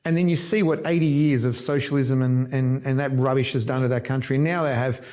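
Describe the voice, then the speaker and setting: A man's voice: medium pitch, steady tone, fairly quick, darkish tone. Argentine-born man. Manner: emphatic, restarts words mid-phrase. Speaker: politician guest. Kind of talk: casual political conversation.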